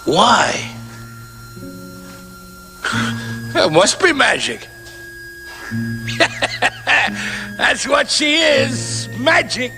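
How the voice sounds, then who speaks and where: An actor playing an emotional, bewildered man in a television drama, speaking in halting, strained broken phrases. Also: very high voice, huge pitch swings, over music, exaggerated voice. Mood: bewildered wonder.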